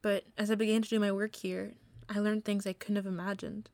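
An actress playing a defiant, impulsive young documentary filmmaker in a radio play, with a direct, insistent manner. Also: female voice, medium pitch, level tone, a little fast, darkish tone, close to an everyday voice.

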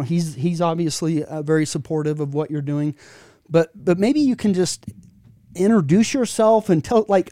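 Guy hosting a chat, warmish mellow voice a bit high, rambly and excited, dry close mic. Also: no echo. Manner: enthusiastic, rambling, with many restarts. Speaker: podcast host. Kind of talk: casual chat.

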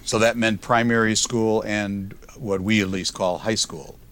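A middle-aged man hosting an academic podcast interview, reading a measured introduction and then asking conversational questions. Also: on the low side, fairly even intonation, fairly bright tone. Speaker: podcast host, professor.

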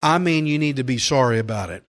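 A man preaching a sermon, earnest and emphatic, slowing down to stress key phrases. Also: mid-range voice, animated. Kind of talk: sermon with scripture readings.

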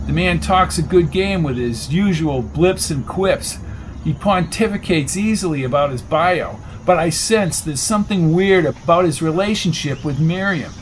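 Man playing a cocky coach, slightly high-voiced. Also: normal speed, a little hiss or hum, lightly acted, low-quality recording. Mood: earnest, probing. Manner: sardonic, probing, confident. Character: Jones, cocky, needling male football coach and amateur sleuth.

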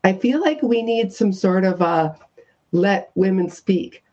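A woman with a loud voice, a guest activist telling her story in a casual radio discussion, with many restarts. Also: medium pitch, animated, a little slow, lo-fi sound.

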